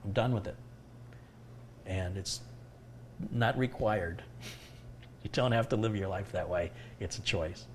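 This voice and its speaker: Middle-aged male host, low voice, calm and reflective, light background hum. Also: measured pace, long pauses. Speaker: tech livestream host answering viewer questions. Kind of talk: casual live Q&A chat.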